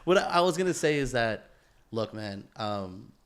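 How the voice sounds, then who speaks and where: A man's voice: medium pitch, very animated, moderate pace. A man co-hosting a podcast in casual conversation, talking in a rambling, slangy way full of "bro" and "man". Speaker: podcast co-host.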